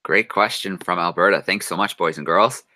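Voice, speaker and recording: A man in his thirties moderates a classroom video call with a deep but bright, forceful voice. The sound is dry and close. He keeps things moving, greeting each class briskly. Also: no room sound. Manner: brisk, friendly, addresses kids directly. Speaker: host. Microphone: webcam on video call.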